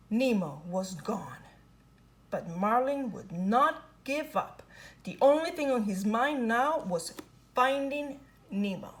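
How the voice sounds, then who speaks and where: A woman reading a children's picture book aloud in an expressive, sing-song storytelling manner. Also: fairly high pitch. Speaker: storybook reader.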